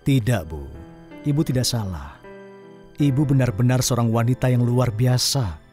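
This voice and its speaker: A man's voice: mid-range voice, animated, moderate pace, mellow, faint music behind, lightly acted. A man voicing a humble, self-made company director in an audio drama, speaking in an earnest, formal way. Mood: emotional. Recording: roomy, distant echoing hall.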